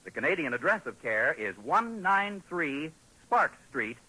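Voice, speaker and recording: A man's voice: on the high side, sing-song, measured pace, sharp tone, poor audio. An American man announcing on a scripted 1940s radio show, with a polished salesman's delivery, heard on a vintage broadcast.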